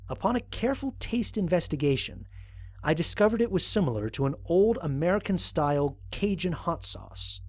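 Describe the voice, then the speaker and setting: A man playing an observant, analytical space explorer, narrating formal mission logs in a measured, precise way for an audio drama. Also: sits high, expressive. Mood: intrigued, measured. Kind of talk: scripted first-person audio drama narration.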